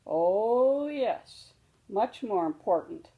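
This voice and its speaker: A woman voicing a gentle, patient mother bear who explains things reassuringly in a children's storybook. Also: medium pitch, expressive, very slow, highs cut off. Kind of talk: children's storybook read-aloud.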